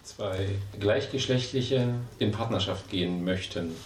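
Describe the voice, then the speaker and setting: A man gives halting answers to a street interviewer, tripping over words now and then. His voice is low and sits far from the mic. The sound is echoey with faint noise. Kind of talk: unscripted vox pop answer.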